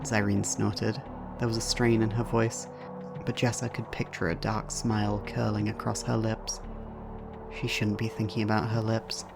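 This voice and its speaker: A man's voice: on the low side, little pitch movement, moderate pace, gravelly, music in the background. A man reading scripted narration for an audio drama as its narrator.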